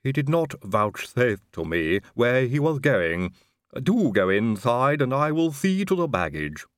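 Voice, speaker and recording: Guy doing a creepy patched-up butler, slow and way too polite, kinda lively tune, dry sound. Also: mid-range voice, dry recording, voice pushed up. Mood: calm polite. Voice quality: slow, unhurried, oddly polite. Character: eerie calm male manservant, stitched together. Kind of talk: audiobook character voice.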